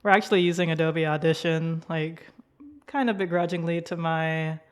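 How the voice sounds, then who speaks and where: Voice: mid-range voice, steady tone, moderate pace, clean tone. A podcast host in casual chat, talkative and laughing often, leaning on "like" as filler.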